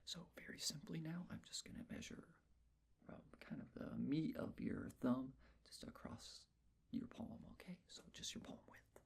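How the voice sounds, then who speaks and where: Whispering man, breathy ASMR roleplay. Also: on the high side. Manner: gentle, softly reassuring, unhurried step-by-step instructions. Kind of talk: ASMR personal-attention measuring roleplay.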